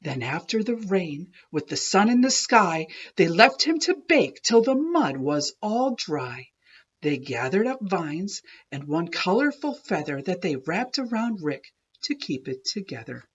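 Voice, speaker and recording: A woman reading a rhyming children's story aloud in an expressive, deliberate, sing-song way. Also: lowish voice, husky, dull audio. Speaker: children's book reader. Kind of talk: animated storybook read-aloud of a rhyming tale.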